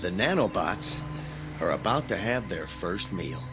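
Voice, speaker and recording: A man's voice: low voice, expressive, measured pace, over music. A man acting in an audio drama as an earnest, idealistic young graduate student with an intense, preachy manner. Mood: ominous, resolute.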